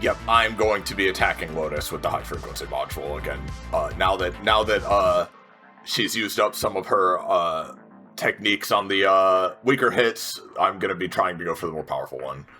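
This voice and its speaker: A man with a fairly low, bright voice plays a tabletop role-playing game. He narrates his character's attacks, with faint music behind him. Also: normal speed. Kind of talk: narrating character combat actions.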